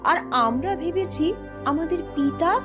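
A high-pitched young princess, voiced by a woman, bold and commanding as an eldest sister. She speaks in slow, firm cartoon delivery with music underneath. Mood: calm, explaining. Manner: firm, deliberate, instructing. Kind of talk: cartoon voice acting.

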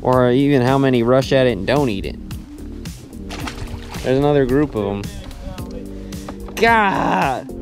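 A man chatting casually and excitably in slang while fishing outdoors on a boat. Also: medium pitch, normal speed, long pauses, over music. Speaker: angler vlogger. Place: outdoors on a bass boat on an open lake.

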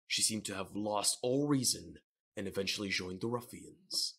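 A narrator reading scripted manga audio drama captions in a subdued, measured way. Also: low voice, a bit bright, slightly rough.

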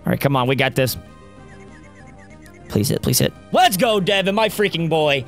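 A young man with a worn, raspy voice commenting on his own gameplay, close to a studio mic at a home setup and cheering on his team loudly. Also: fairly high pitch, lively intonation, moderate pace. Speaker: gaming YouTuber. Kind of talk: Let's Play commentary. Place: home gaming setup, indoors. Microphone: studio mic close up, seen. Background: faint game music.

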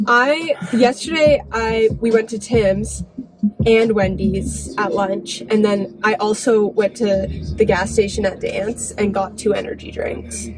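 A young woman nursing student chatting casually with a friend in a parked car, agreeing quickly and using many fillers. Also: medium pitch, rough recording. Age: young adult. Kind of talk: casual chat. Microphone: phone camera on dashboard. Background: background music.

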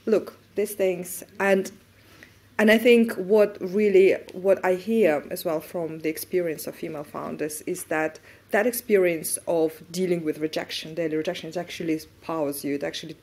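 A woman in her forties speaking as a guest on a conference stage, animated as she makes her points. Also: on the low side, normal speed. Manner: animated, gestures as she talks. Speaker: panel guest. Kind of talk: fireside chat on stage. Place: conference stage before an audience. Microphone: lapel mic.

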